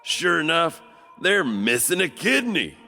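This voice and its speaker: Male horror podcast host, theatrical and conversational, lively swooping delivery with gravel in it, music underneath. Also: a little high, measured pace. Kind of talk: spooky storytelling narration. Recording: long reverb, music underneath.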